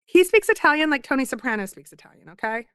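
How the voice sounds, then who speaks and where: A woman's voice: high voice, sing-song, fast talker, fairly bright tone, no room sound, clean studio sound. A woman in her thirties from Philadelphia, a guest author talking in a casual podcast interview, chatty and self-deprecating as she tells stories.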